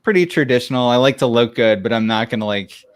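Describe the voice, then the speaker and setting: A man co-hosting a casual conversation, speaking informally in a halting, self-deprecating way. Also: medium pitch, lively intonation, clean tone. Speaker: co-host. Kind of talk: casual chat.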